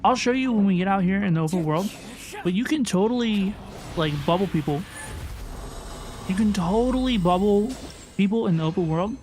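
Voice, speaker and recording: A woman hosts a gaming livestream in a casual, chatty way. Her voice sits at a middle pitch and she often repeats a phrase for emphasis. Music plays behind her. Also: expressive, moderate pace, long pauses, darkish tone. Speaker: livestream host. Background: game music.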